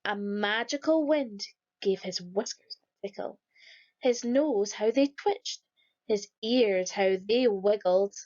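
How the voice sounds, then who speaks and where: A woman reading a rhyming children's picture book aloud in a lively, sing-song storytelling manner. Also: medium pitch, slow pace, dull audio. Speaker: storybook reader.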